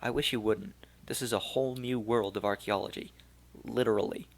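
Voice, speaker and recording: A determined young woman scholar, read by a man in a low gravelly voice. She speaks firmly and earnestly, with little change from the narrator's tone. An audiobook figure. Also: fairly even intonation, fairly quick.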